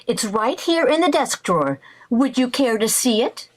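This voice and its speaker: A woman playing an excitable, scheming housewife in a theatrical old-time radio comedy style. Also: on the high side, moderate pace, poor audio. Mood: defensive. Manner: theatrical, exclaiming. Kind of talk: 1940s radio comedy acting.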